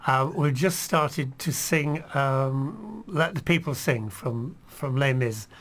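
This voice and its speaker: A man's voice: medium pitch, animated, slow, a bit bright. A man who sings in a choir, speaking as a guest in a radio studio, earnest and encouraging. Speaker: choir member.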